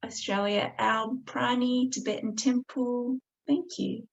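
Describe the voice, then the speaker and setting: A woman's voice: a little high, fairly even intonation, takes it slowly, creaky. A woman hosting an online event with polite, gracious thanks, speaking over a video call. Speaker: MC and host of an online Buddhist event. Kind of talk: warm hosting with live chat shout-outs. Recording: dull, lo-fi online stream audio.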